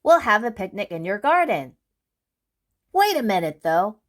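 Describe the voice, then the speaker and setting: A woman voicing a brisk, bossy, restless male storybook character who talks in eager, hurried exclamations. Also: medium pitch, very animated, lightly acted. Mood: brisk, organising.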